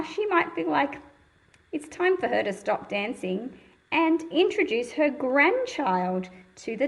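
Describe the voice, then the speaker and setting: A woman's voice: high voice, highs cut off. A woman reading a picture book aloud to young children and explaining it in simple words, in a patient, teacherly manner.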